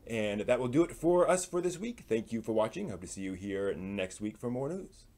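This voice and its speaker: A man hosting a live stream, delivering news commentary in an informal, halting way and often trailing off at the ends of phrases. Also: mid-range voice, very animated, darkish tone.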